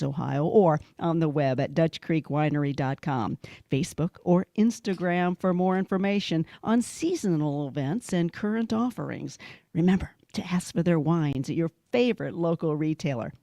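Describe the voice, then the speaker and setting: Mellow American woman radio announcer. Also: on the low side, vocal fry, no echo, studio quality. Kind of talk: scripted radio announcing and sponsor read.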